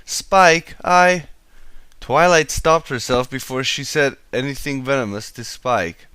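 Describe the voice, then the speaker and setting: Clear-voiced man reading a story. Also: mid-range voice, normal speed, bright, forceful tone, dry recording. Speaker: amateur fan fiction reader. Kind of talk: scripted story narration. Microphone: home microphone.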